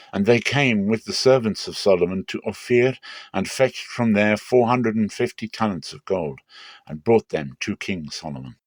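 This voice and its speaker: A man with a fairly low voice narrates scripture as a dramatic reading. His phrasing is measured, solemn and clear, and the sound is very dry. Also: dry recording.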